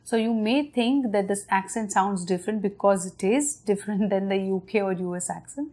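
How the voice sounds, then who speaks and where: A woman in her thirties with an Indian English accent talks straight to the camera from her living room. She walks parents through her lesson plan step by step in a friendly, patient way. She often pauses on fillers and restarts a word before going on. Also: medium pitch, darkish tone. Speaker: parenting channel host. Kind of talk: explaining a home reading method to parents on camera. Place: living room at night in front of a large window.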